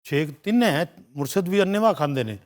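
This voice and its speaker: Brief: man, playful panelist, lively and fairly high. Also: moderate pace. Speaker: comedy panelist. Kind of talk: casual comedic banter.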